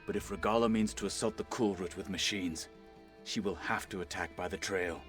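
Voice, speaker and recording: An actor playing a stoic, loyal warrior marshal in a video game, grave and measured in speech. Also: male voice, low-pitched, fairly bright tone, soft background music. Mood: businesslike. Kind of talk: video game cutscene acting.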